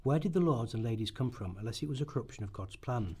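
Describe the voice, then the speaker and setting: A man reads scripted historical narration in a rehearsal. His voice sits at a middling pitch with a slight husk, and he occasionally trips over a phrase. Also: expressive, quick pace, a little mellow, slightly rough. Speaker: narrator in a radio play rehearsal. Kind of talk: scripted documentary-drama narration, read aloud.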